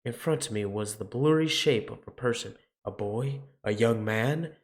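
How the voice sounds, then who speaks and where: A man's voice: medium pitch, normal speed. A man reading visual novel narration aloud dramatically as he plays. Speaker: game player reading aloud.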